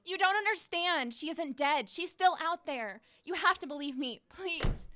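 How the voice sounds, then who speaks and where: Actress as teen heroine, high lively voice, bright and urgent, protective, defiant, some noise, audio drama. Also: female voice, a little slow. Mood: urgent, pleading. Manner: urgent, earnest, emotional. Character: brave, defiant, protective teenage girl investigator. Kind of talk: scripted audio drama acting.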